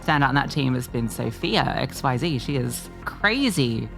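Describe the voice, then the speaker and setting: A woman's voice: a bass voice, animated, normal speed, creaky, faint music behind. A woman commentating an esports match, with playful, teasing banter toward her co-caster. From Australia. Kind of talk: live game commentary and casual chat.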